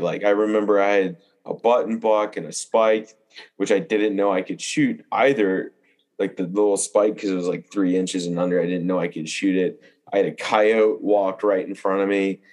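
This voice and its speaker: A young man with a fairly low voice tells outdoor anecdotes in a casual podcast chat. He is animated and informal, leaning on "like" as he builds each story. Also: normal speed.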